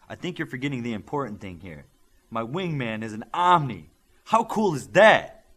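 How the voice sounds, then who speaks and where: Man doing a boisterous young wingman, exuberant, boastful, lively pitch. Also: moderate pace.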